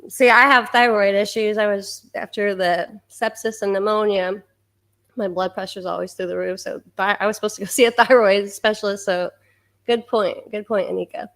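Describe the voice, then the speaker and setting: A woman hosting a livestream, reading out viewer comments and chatting, hoarse from losing her voice and clearing her throat now and then. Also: mid-range voice, steady tone, normal speed, a bit bright. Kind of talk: casual chat.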